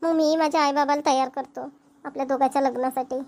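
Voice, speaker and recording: A woman's voice: sits high, fairly even intonation, quick pace, darkish tone. A woman voicing village women in a cartoon comedy, speaking colloquial rural Vidarbha Marathi. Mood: earnest. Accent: Maharashtrian, rural Vidarbha Marathi. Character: young village women, mothers and nagging wives in a comedy.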